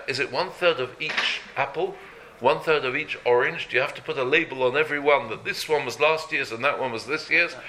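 A man teaching an informal Torah class, halting, repeating words and checking in with "yeah?". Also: mid-range voice, sharp tone, slight background noise, low-quality recording.

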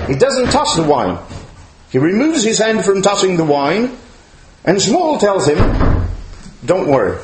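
An echoey room with faint noise surrounds a male rabbi giving a religious lecture. His voice sits a little high and swings widely in pitch. He teaches emphatically, posing questions as he goes. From outside Chile, now living there. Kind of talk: religious lecture to a live audience.

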